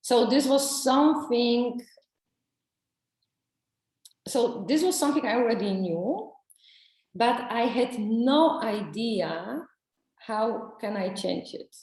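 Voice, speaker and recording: A woman telling her story in an interview, halting and searching for words, with many restarts. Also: a little high, animated, darkish tone, echoey room, poor audio. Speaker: coaching client. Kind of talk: testimonial interview.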